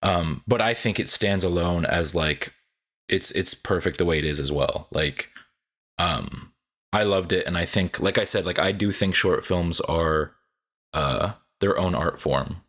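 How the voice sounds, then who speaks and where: A man with a very deep voice thinks aloud about movies. He hedges often with "I think" and "like". He sounds easy and conversational. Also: unhurried, fairly bright tone. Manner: thoughtful, hedging with "I think" and "like". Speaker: podcast co-host. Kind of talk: casual film discussion.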